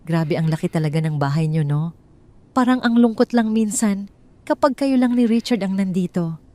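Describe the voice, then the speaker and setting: A woman's voice: mid-range voice, moderate pace, mellow, no echo, natural voice. A woman voicing a glamorous, scheming mistress in an audio drama, falsely friendly, with a sugary, casually probing manner.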